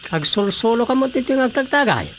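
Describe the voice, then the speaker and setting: A man's voice: very high-pitched, big pitch swings, fast talker, a bit bright, full character voice. A man playing an easygoing brother-in-law and drinking buddy giving advice in an Ilocano-accented radio drama comedy. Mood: advising. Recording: clearly noisy radio drama recording.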